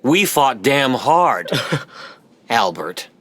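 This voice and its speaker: A man's voice: medium pitch, big pitch swings, drawn-out words, a bit bright, dry recording, full character voice. A man in an audio play playing a proud, stubborn middle-aged father with an emphatic manner. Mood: firm, proud.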